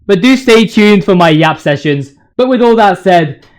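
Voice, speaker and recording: A man's voice: high voice, expressive, normal speed. A man giving casual, opinionated gaming commentary as a video host, ranting in slang with emphatic repetition.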